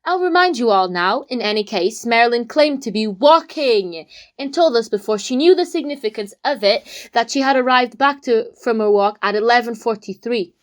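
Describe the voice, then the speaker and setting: A woman's voice: on the high side, lively intonation, normal speed, natural voice. A woman playing an earnest young amateur detective, explaining a case theatrically in an audio drama. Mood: dramatic, confident.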